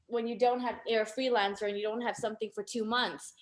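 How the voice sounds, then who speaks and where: Tanzanian woman in her 30s on a video call, thinks out loud, bit high voice, roomy echo. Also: little pitch movement, fast. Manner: open, reflective, lots of fillers. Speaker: guest photographer. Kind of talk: casual discussion. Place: home room. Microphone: video call laptop camera. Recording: echoey room.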